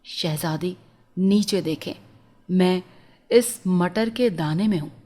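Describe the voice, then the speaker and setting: A woman's voice: lowish voice, slow pace, a little mellow, voice pushed down. A woman voicing a young captive prince in a storybook tale, earnest and pleading, speaking formally and respectfully. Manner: formal, respectful, urgent address.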